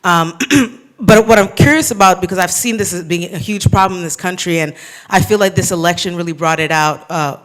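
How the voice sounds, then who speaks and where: A man's voice: high voice, steady tone, brisk, fairly bright tone. A man from Kenya asking a long, hesitant question from the audience at a bookstore talk, with many fillers and restarts. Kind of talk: public Q&A question. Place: bookstore event room.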